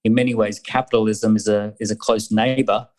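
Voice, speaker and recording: A man's voice: fairly low pitch, level tone, fairly quick, darkish tone. A man running an accelerator, talking earnestly with many fillers and restarts. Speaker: accelerator founder. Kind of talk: conversational interview.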